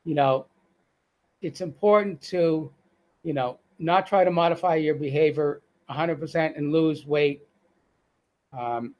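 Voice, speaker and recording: A man's voice: fairly high pitch, unhurried, long pauses, a little mellow. A middle-aged man with a Boston accent, talking as a guest on a live video call, with many fillers and restarts. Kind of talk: casual live-stream interview.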